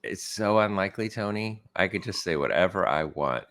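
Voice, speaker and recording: Deep-voiced man, tired morning rasp. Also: lively intonation, brisk, fairly bright tone. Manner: joking, self-deprecating, lots of laughs. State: a bit hoarse, tired morning voice. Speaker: podcast co-host. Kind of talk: casual podcast chat.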